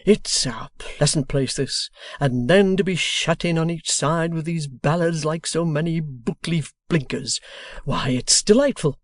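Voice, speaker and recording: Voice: medium pitch, a little slow, dry recording, studio quality, pitched above the performer's own voice, lightly acted. Mr Boffin, hearty old man as a reader puts him on. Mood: eager. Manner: broad, exclaiming, mangles words. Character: excitable uneducated retired old man, hearty. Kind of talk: audiobook character reading.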